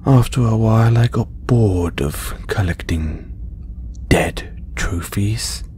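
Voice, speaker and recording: A man playing a condescending, possessive alien trophy hunter in an audio roleplay, speaking deliberately in breathy half-whispers with long pauses. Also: on the low side, darkish tone, a little hiss or hum. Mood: smug, menacing. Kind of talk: slow audio roleplay monologue to listener.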